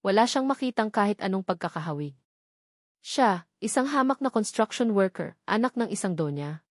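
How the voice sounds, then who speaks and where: A woman's voice: medium pitch, lively intonation, normal speed, no room sound. Woman narrating a dramatic story, dry sound. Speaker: audiobook narrator.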